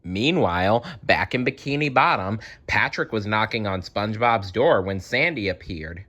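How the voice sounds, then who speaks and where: A man's voice: fairly low pitch, animated, moderate pace, bright, forceful tone. A man reading a children's story aloud expressively, adding wry asides as storyteller.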